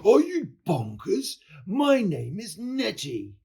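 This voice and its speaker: An indignant lady monster from a children's storybook as performed by a man, shrill and pitched high. The delivery swoops around with outraged exclamations and a slightly rough edge. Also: wildly swooping pitch, measured pace, a little mellow, exaggerated voice. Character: furious, indignant female mountain monsters, grudgingly helpful.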